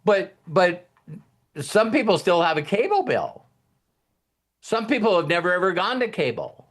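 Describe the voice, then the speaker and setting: A man's voice: high voice, sing-song, unhurried. A man hosting a casual motivational talk in a rambling, folksy, anecdotal way. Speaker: host of a motivational team talk.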